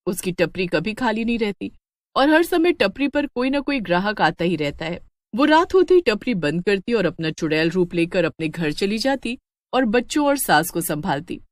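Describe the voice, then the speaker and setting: A woman giving a scripted, expressive narration of a cartoon horror story. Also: medium pitch, normal speed, no echo, clean studio sound.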